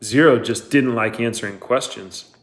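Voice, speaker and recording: A man reading a novel aloud in a steady, unhurried way. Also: mid-range voice. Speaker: reader.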